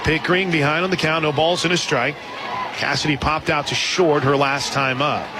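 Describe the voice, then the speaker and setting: A man doing live radio play-by-play of a softball game, mixing pitch calls with shout-outs to listeners. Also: fairly even intonation, moderate pace, heavy background noise. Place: outdoor stadium broadcast position. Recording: broadcast with loud crowd and background sound. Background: loud crowd and music.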